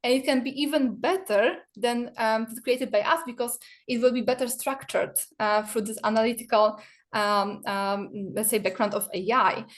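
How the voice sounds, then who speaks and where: A woman's voice: a little high, moderate pace. A woman from Poland answering on an online panel, speaking with many fillers and restarts. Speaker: PR association board member. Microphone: video call.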